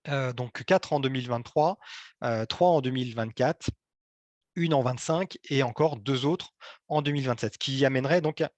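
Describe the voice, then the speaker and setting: A man giving an explanatory presentation to local officials, speaking in a halting, hesitant way. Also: mid-range voice, darkish tone, dry recording.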